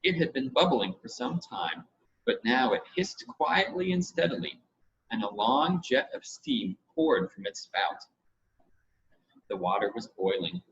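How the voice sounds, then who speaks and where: A man reading a children's story aloud as scripted audiobook narration, in an expressive, measured way. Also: mid-range voice, husky. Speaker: audiobook reader. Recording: dull audio with faint noise.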